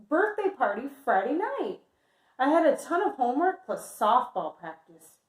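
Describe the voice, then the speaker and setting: Storybook schoolgirl, acted by a woman: discouraged, sulky, drawn-out lines, lively pitch, roomy sound. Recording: roomy, echoey indoor sound.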